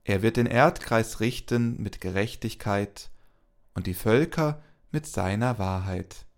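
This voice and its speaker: A man's voice: fairly low pitch, unhurried. A man reading psalm verses and prayers as co-leader of a church service.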